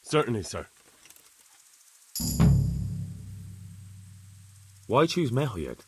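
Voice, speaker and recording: A man's voice: medium pitch, sing-song, moderate pace, breathy edge. A man playing an earnest, deferential retainer in a video game, speaking respectfully and plainly to his superior. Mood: questioning. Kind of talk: video game cutscene acting.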